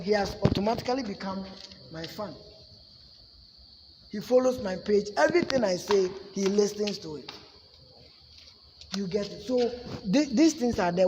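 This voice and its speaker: A man's voice: high-pitched, darkish tone, slight background noise. A man with a Ghanaian accent teaching a public speaking class in an emphatic way, often asking "you see?". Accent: Ghanaian English. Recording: echoey room with long reverb.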